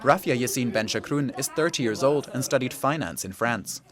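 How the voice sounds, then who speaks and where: A man narrating a radio documentary feature as the reporter, in a calm, measured scripted delivery. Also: mid-range voice, a loud crowd.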